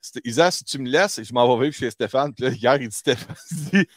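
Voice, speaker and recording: A man's voice: mid-range voice, a little fast, no room sound. Male host, Quebec French accent, colloquial storyteller, close dry sound, mid-range voice. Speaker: talk show host. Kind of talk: casual group chat about nostalgia and toys.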